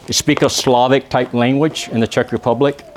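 A man from Texas with a Texan accent, preaching a church sermon and praying, often pausing with "uh" and asking the congregation for an "amen". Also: mid-range voice, steady tone, a little hiss or hum. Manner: peppers phrases with "amen?" asking the congregation to answer; halting, with many "uh" pauses and restarts. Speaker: visiting preacher.

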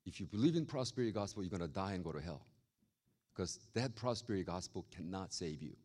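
A man from Korea with a Korean accent, preaching a sermon as a pastor and telling stories with lively acted-out voices. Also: sits low, quick pace. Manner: animated, acts out quoted voices. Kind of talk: storytelling sermon.

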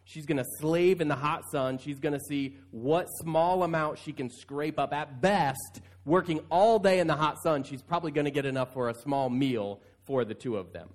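Higher-voiced man preaching conversationally. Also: normal speed, hardly any pauses. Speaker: pastor. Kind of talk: conversational sermon.

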